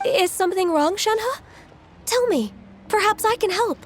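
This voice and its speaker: A kind, tactful young woman in a game, speaking soothingly. The voice sits high, with faint ambient noise behind it. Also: expressive, a little slow. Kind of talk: game voice acting.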